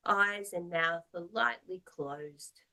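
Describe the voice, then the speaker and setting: Woman, yoga teacher, guided meditation, slightly low pitch, very slow pace, gently instructive. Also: vocal fry. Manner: soothing, measured, gently instructive.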